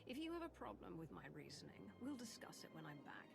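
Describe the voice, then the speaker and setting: An actress playing a firm, decisive military commander in a video game cutscene. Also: female voice, mid-range voice, sing-song, fast talker, gravelly, background noise. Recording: music behind the voice.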